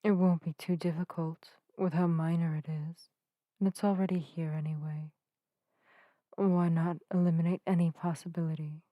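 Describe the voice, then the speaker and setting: A woman playing a gentle, earnest witch healer in an ASMR audio roleplay, soft-spoken and soothing with old-fashioned, formal phrasing. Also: on the low side, little pitch movement, moderate pace, no room sound, lightly acted. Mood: gentle, sincere. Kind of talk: narrative ASMR roleplay addressed to the listener. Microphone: close microphone in a dry space.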